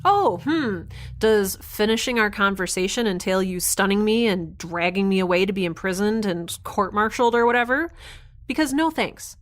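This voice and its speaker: A woman's voice: medium pitch, animated, normal speed, lightly acted. A woman playing a defiant, wry young rebel on the run in a science fiction audio drama, chatty and given to sarcastic asides.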